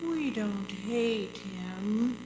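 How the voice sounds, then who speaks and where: A woman playing the calm, solemn ghost of a murder victim in a radio drama. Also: medium pitch, very slow. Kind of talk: old-time radio drama acting on stage. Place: performing arts center hall. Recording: faintly noisy stage recording.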